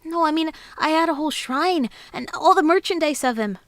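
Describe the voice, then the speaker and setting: A woman voicing a shy, flustered anime boy with a halting, nervous delivery. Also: sits high, animated, fairly bright tone, no echo, voice pushed up. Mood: nervous, confessing. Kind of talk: anime voice acting.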